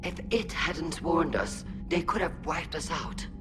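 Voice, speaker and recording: An actress playing a guarded female alien admiral in a video game, brisk and commanding in her speech. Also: low-pitched, animated. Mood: guarded, grudging. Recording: game music behind the voice.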